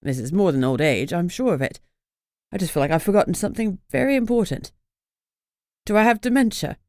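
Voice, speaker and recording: Woman doing an older British dad, lost and confused, low and dry. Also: lively intonation, brisk, a little mellow, no echo. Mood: bewildered. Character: bewildered older father, forgetful and lost.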